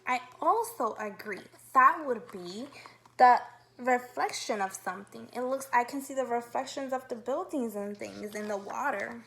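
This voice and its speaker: Bright, high-voiced woman teaching young children. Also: moderate pace, creaky, a little hiss or hum, low-quality recording. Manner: upbeat, instructive, asks guiding questions. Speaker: kindergarten teacher. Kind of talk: read-aloud lesson for young children.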